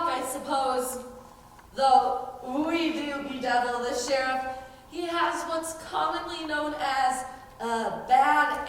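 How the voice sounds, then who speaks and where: A teenage girl playing a brisk, sanctimonious outlaw nun with a scolding manner in a school stage play. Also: high voice, fairly bright tone. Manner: pious, scolding, emphatic. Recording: distant, echoey stage recording with noise.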